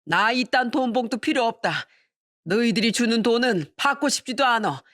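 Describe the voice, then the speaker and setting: A woman's voice: on the high side, expressive, moderate pace, a bit bright, no room sound, studio quality, voice pushed up. A woman voicing a furious elderly mother-in-law who scolds her sons emphatically in a dramatized family story. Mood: furious, scolding.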